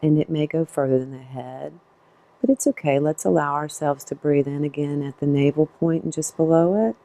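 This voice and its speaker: A woman leading a guided meditation in a gentle, unscripted, reassuring way. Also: sits low, steady tone, moderate pace.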